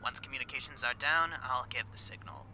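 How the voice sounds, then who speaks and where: Commanding villain mastermind, male actor: gravelly, piercingly tense, mid-pitched, for superhero audio roleplay. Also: brisk, sharp tone, a little hiss or hum. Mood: commanding, plotting. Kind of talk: ASMR roleplay audio drama.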